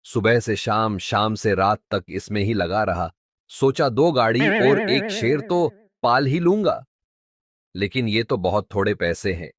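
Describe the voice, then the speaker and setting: A man's voice: lowish voice, sing-song, a little slow, dry recording, studio quality. A man playing a humble, cheeky young village sculptor in a cartoon story, complaining in a comic, dramatic, colloquial way. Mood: comic grumbling. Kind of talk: Hindi cartoon story dubbing.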